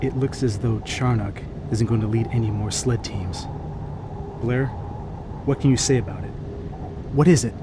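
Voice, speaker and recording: A man's voice: mid-range voice, normal speed, long pauses, background noise, close to an everyday voice. An actor playing the sober, businesslike male leader of a polar expedition in an audio drama. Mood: sober, questioning.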